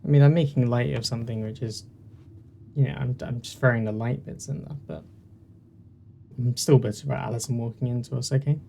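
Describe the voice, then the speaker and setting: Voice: mid-range voice, fairly even intonation, a little fast, vocal fry, dark tone, a little hiss or hum. A video game host chatting casually through a playthrough in a rambling, self-deprecating way, on a poor-quality home mic. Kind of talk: casual commentary while playing a game.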